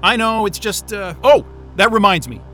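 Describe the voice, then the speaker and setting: An actor playing an excitable, sarcastic, foul-mouthed astrophysicist in a naturalistic audio drama. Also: male voice, sits high, moderate pace, faint music behind.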